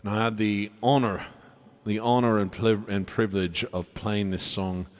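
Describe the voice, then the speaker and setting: A man's voice: fairly low pitch, flat delivery, normal speed. A man speaking in a put-on British accent, giving casual stage banter to an online audience as a tribute performer.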